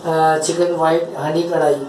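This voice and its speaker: A man's voice: fairly high pitch, flat delivery, normal speed, a little mellow, a little hiss or hum. A middle-aged man co-hosting a home cooking video, asking questions, repeating answers and speaking to viewers. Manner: asks questions, echoes answers, talks to viewers. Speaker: co-host of cooking channel. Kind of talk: casual cooking chat, addressing viewers. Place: home kitchen, indoors. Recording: echoey kitchen with sizzling.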